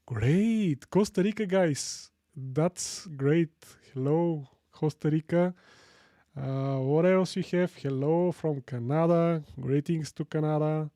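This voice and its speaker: A man from Sofia with a Bulgarian accent hosting a casual live tech tutorial, chatting with viewers in a halting way and repeating phrases for emphasis. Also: on the high side, warm tone, no echo, studio quality. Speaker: live stream host.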